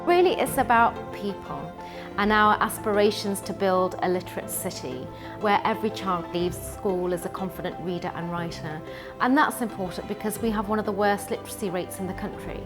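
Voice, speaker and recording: A woman's voice: medium pitch, fairly quick. A woman speaking earnestly in a documentary interview about reading and her city. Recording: music playing behind.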